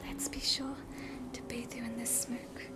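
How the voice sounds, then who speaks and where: A woman with an Australian accent whispering a gentle, reassuring ASMR roleplay as a spooky hospital doctor. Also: sits high, little pitch movement, normal speed, very noisy. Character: soothing, spooky hospital doctor treating hauntings. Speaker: ASMR performer playing a doctor.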